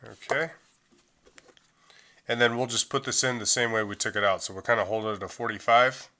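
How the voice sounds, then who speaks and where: A man's voice: medium pitch, brisk. A middle-aged man giving a casual, step-by-step phone repair tutorial at a workbench, talking loosely with plenty of fillers. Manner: relaxed, loose delivery with frequent fillers.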